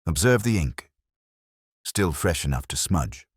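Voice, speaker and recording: Man playing deep, shrewd, quietly firm police inspector. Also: big pitch swings, husky, no room sound, clean studio sound, full character voice. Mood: quietly observant. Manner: soft-spoken, deliberate, formal.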